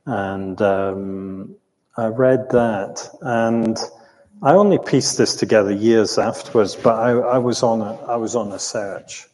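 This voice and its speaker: An older man recounting his own life in an interview, speaking reflectively in a halting, thoughtful way with long hesitations. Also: fairly low pitch, fairly even intonation, darkish tone. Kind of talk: reflective storytelling in conversation. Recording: roomy hall with long reverb.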